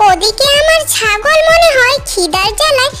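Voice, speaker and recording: A sly little fox from a children's cartoon. The voice sits extremely high, almost childlike, and chatters in a wheedling, scheming way. Loud music plays behind it. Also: lively intonation, moderate pace. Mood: relieved. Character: cunning fox scheming to farm goats. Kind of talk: animated children's story dialogue.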